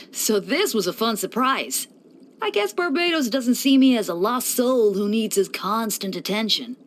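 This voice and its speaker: A woman's voice: medium pitch, slight background noise, natural voice. A woman voicing a playful young male church deacon in a video game, breezy and teasing with theatrical mock solemnity. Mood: casual, wry. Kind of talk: scripted video game character voice lines.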